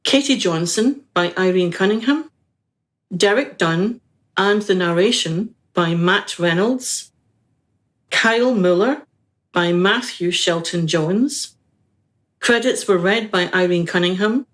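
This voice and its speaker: A woman reads scripted credits for a radio production. Her voice is slightly low and she goes at an unhurried, slow pace. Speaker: credits reader.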